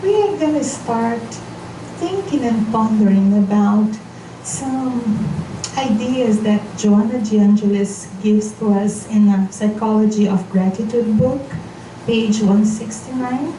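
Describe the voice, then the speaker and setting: A woman whose first language is Portuguese, leading a spiritual seminar in English, speaking carefully and gently guiding her listeners. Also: mid-range voice. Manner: slow, careful, gently guiding, with frequent restarts. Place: meeting hall of a spiritist society. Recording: echoey hall, voice from far off, noisy.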